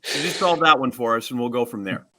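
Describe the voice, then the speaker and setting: Male co-host, medium-pitched, rambling and jokey in casual podcast banter. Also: quick pace, pushed and bright. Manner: joking, rambling.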